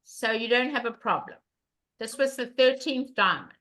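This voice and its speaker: Loud, bright-voiced older woman teaching bridge. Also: on the high side, normal speed. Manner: emphatic, repeats questions to the class. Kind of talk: online bridge lesson.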